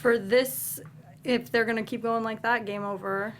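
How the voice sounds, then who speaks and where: A woman's voice: fairly high pitch, steady tone, moderate pace, a crowd in the background. A woman co-hosting a film review panel in casual conversation, with noise behind her.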